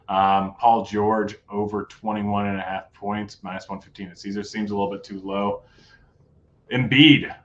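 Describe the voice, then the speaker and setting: Man with a low, lo-fi voice. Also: a little hiss or hum. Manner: laid-back, rattles off odds. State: losing his voice. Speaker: sports betting show host. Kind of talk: casual betting picks chat.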